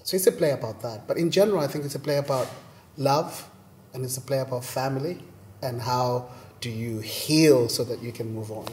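A man from Zimbabwe, a playwright and performer, talking thoughtfully and animatedly about his work in a bright rehearsal room. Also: mid-range voice, a little fast, a little hiss or hum. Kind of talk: reflective conversation.

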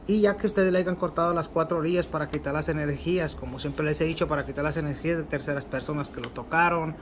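Mexican man calmly teaching a ritual. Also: on the high side, slight background noise. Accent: Mexican Spanish. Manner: unhurried and repetitive, ending phrases with a questioning "ok". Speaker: occult ritual instructor. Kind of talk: spoken tutorial demonstrating a ritual. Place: indoors at a table covered with candles and ritual objects.

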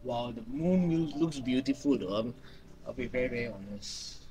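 A man's voice: medium pitch, expressive, slow, music in the background, rough recording. A young man giving casual, laid-back reaction commentary while streaming a game.

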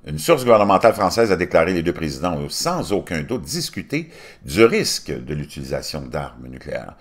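A man in his fifties with a Québécois accent, reading news articles aloud and commenting on them, bursting into loud emphasis and then dropping to low muttered asides. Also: sing-song, a little fast. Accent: Québécois French. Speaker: commentary channel host. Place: home studio with virtual backdrop.

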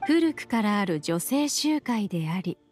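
A woman's voice: mid-range voice, big pitch swings, measured pace, darkish tone, faint music behind. A woman playing a composed, sly young female visitor in an anime game, teasing and assured. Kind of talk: Japanese anime game acting.

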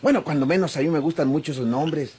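A man's voice: on the high side, quick pace, a little mellow, lightly acted. Man acting an oily rural Mexican flirt. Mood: flirtatious. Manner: ingratiating, rustic. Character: oily, flirtatious rustic male drifter. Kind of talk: Mexican golden-age radionovela acting. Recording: noisy old radio drama recording.

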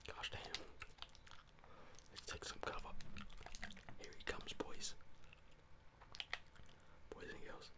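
A man's voice: slow pace, pauses often. A young man whispering casual, sweary ASMR gaming commentary to his viewers, close to the microphone. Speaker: gaming streamer.

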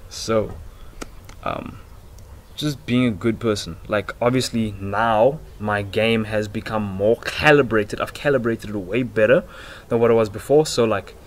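A man in his twenties chatting casually and excitably in slangy, rambling talk, like a vlogger. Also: lowish voice, unhurried. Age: 20s. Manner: excitable, slangy, swearing, breaks into giggles. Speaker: self-improvement vlogger. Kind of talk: casual chat, rambling monologue. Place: outdoors on a house porch. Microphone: laptop built-in microphone at arm's length. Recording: noisy laptop microphone outdoors.

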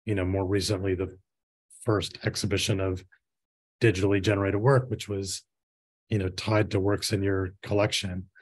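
Halting, lowish-voiced male panel moderator. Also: darkish tone, husky, poor audio. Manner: halting, measured phrasing. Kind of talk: conversational moderated discussion. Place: indoor room with bookshelves, on a video call.

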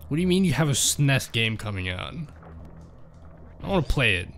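A man's voice: mid-range voice, sing-song, normal speed, a bit bright, slight background noise. A man streaming a game, chatting casually and joking with his viewers as he reads their messages aloud. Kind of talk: casual chat while playing a game.

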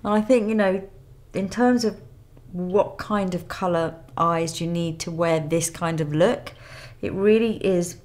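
A woman in her thirties with a cold and a nasal voice, calmly talking through a makeup tutorial step by step in a studio. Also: lowish voice, a little slow, hardly any pauses. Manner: calm step-by-step instruction while applying makeup. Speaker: makeup artist. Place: indoor studio with plain grey backdrop.

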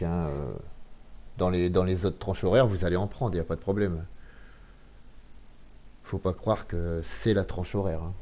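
Man, very deep voice, casual game tutorial, hesitant fillers. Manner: halting, many fillers, relaxed explaining. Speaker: gameplay tutorial narrator.

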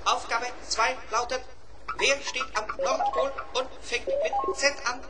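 An actor voicing a fussy, eager little robot in a radio play, speaking haltingly and repeating words mechanically. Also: high-pitched, steady tone, over loud music. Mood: matter-of-fact.

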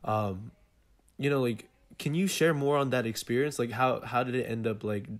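A man's voice: mid-range voice, moderate pace. A man hosting an interview over a video call, asking his questions in a searching, hesitant way. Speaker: interview host. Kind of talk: casual interviewing.

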